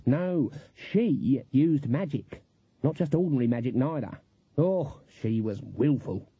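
Guy putting on a grumpy old witch lady, husky, tinny echoey audiobook sound. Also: mid-range voice, animated, a little slow, full character voice. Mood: dark, dismissive. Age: older. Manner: grumbling, dismissive. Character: stern, irritable old woman witch, bitter and indignant. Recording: echoey, narrow phone-like audio.

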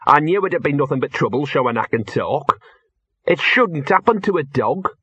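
A man's voice: medium pitch, lively intonation, a little slow, fairly bright tone, husky, no echo, muffled recording, voice pushed up. A man voicing a mournful, know-it-all talking dog in an audiobook, muttering and whining in colloquial speech. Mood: mournful wailing. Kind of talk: audiobook character voice.

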